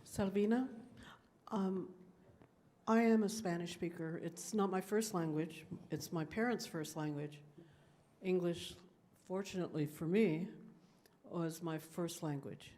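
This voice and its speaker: Audience member, female teacher, lower voice, earnest and measured. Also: warm tone. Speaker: teacher asking a question. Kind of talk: audience question at a panel.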